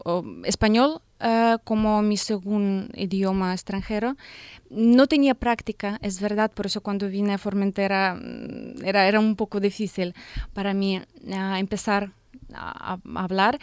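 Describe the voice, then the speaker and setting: A woman from Belarus, answering interview questions in Spanish, her second foreign language, and often pausing to search for words. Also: mid-range voice, a little mellow, clear, resonant tone, dry recording, studio quality. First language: Russian and Belarusian. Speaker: guest, translator and painter.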